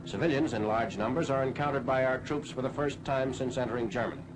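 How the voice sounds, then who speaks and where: American male announcer for wartime newsreel. Medium pitch, formal clipped read, clearly noisy period recording. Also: fast talker. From United States. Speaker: newsreel narrator. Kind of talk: 1940s scripted newsreel narration. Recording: noisy 1940s film soundtrack.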